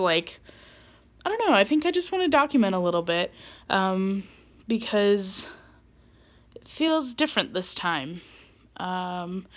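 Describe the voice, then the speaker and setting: A woman in her late twenties talking casually to her camera in a personal vlog, rambling with many ums and likes and laughing at herself. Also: medium pitch. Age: 20s. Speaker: vlogger.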